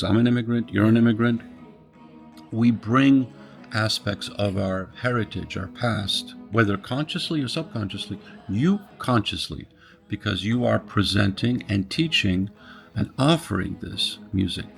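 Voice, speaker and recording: A man's voice: lowish voice, moderate pace, vocal fry, soft background music. A middle-aged man from Poland, interviewing in a thoughtful, searching way and often restarting his phrases. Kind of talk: reflective conversation.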